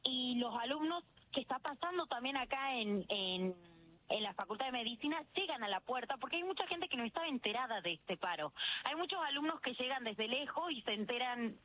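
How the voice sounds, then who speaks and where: Bright, high-pitched Argentine woman reporter. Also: expressive, a little fast, muffled recording. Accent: Argentine Rioplatense. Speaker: field news reporter. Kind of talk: live on-the-spot radio report and street interview. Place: outside a university building on a city square.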